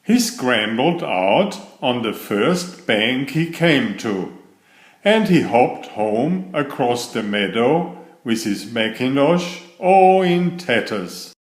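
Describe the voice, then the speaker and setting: A man reading a children's story aloud in an expressive, deliberate, dramatic manner, stretching key words. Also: mid-range voice. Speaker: children's story narrator and author. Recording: roomy, echoey sound.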